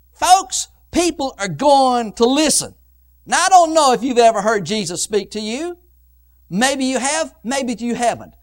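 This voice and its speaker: A man preaching a sermon in an emphatic oratorical style, with repeated phrases and dramatic pauses, swinging between hushed and loud delivery. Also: very high-pitched.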